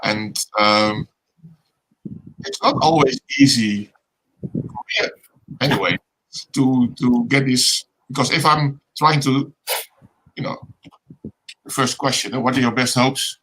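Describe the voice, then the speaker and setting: Bright-voiced man asking questions. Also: medium pitch, slow, long pauses, low-quality recording. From Netherlands. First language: Dutch. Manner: inquisitive, searching for words. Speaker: guest co-host. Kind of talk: casual interview questions.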